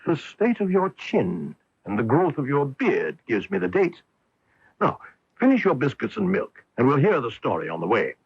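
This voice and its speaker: An actor playing a commanding, coolly logical English detective in a 1980s radio drama. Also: male voice, medium pitch, huge pitch swings, moderate pace, gravelly, noisy background. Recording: dull vintage radio broadcast.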